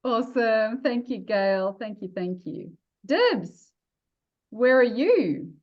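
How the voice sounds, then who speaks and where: A woman's voice: a little high, big pitch swings, slow pace, muffled recording. A woman coaching a group on a video call, upbeat and encouraging as she calls on each member.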